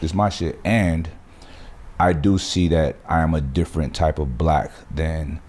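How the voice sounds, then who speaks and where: A man with a low, dark voice holds forth on his podcast. He speaks in an animated, emphatic way, full of street slang and cursing. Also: unhurried. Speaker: podcast host. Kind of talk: casual podcast conversation.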